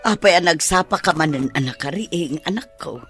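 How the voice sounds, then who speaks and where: A woman's voice: fairly low pitch, lively intonation, a little fast, fairly bright tone, faint music behind. A woman playing a devout older mother in a radio drama, soothing and concerned. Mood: concerned, consoling. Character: consoling, devout older mother of a grown son. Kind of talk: Ilocano radio drama acting.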